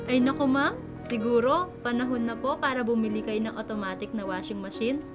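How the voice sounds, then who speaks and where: A woman's voice: a little high, brisk, lightly acted. A woman playing a protective, loving, humble mother in a radio drama. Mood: friendly, modest. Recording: music bed behind the voice.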